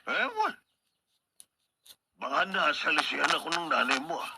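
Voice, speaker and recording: An actor playing a gruff, intolerant middle-aged Filipino father, stern and blunt, in radio drama style. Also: fairly high pitch, very animated, normal speed, noisy background. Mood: bitter joking.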